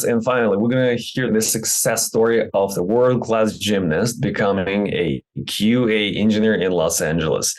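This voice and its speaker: A man hosting an interview in a conversational style, introducing his guest and putting questions to him. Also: on the low side. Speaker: interview host.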